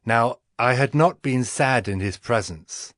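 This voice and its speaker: A man's voice: medium pitch, a little slow, a bit bright, no echo, clean studio sound, natural voice. A man playing a devout, earnest cupbearer in a dramatized audio Bible, solemn and reverent in his delivery. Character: Nehemiah, devout earnest cupbearer to an ancient king. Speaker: narrating lead in dramatized scripture. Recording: music behind the voice.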